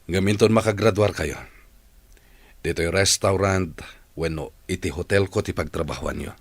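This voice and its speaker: A man playing a kindly, generous older boss with a husky voice in a radio drama. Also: deep voice, normal speed, no room sound. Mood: benevolent.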